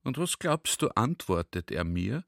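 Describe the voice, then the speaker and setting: A man's voice: lowish voice, big pitch swings, a little slow, creaky, no room sound, clean studio sound, heavily acted. An actor playing a talkative, earnest army general in a radio play, speaking conversationally as if to a comrade and checking that he follows. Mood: confiding, amused.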